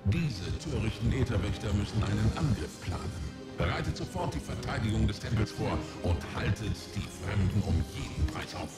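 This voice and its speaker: A man's voice: lowish voice, animated, moderate pace, pauses often, darkish tone, faint music behind, close to an everyday voice. An actor voicing a menacing male monster general who commands his troops in a game. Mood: commanding.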